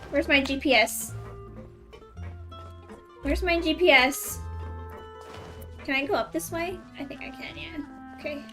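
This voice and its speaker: A woman's voice: sits high, unhurried, pauses often, over music. A woman game streamer chatting casually and playfully with viewers as she plays, teasing them. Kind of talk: casual live-stream chat over gameplay.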